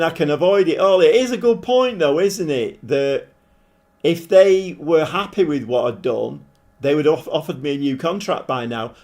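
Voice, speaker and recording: A man with a croaky, hoarse voice, chatting casually as he streams a game and thinks aloud. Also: on the high side, a little mellow. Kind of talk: casual live-stream commentary. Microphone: desk microphone.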